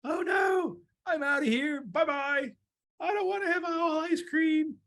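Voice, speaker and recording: Storybook owl, played by a storyteller: high-pitched, flustered, exclaiming in alarm. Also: sing-song, normal speed, a bit bright. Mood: startled, scared. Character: frightened owl, easily spooked storybook bird.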